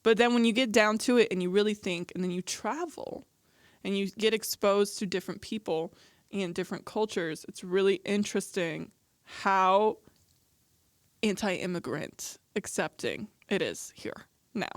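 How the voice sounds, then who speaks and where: A woman from the United States hosting a podcast, talking in an animated way with lots of "like". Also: mid-range voice, moderate pace, dry recording. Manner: animated, says "like" often. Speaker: podcast host. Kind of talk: casual podcast chat.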